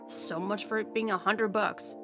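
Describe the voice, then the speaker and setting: A young woman delivers scripted recap commentary, sounding chatty and inquisitive. Her voice is mid-pitched, with music clearly playing behind it. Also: steady tone, normal speed. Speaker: co-host.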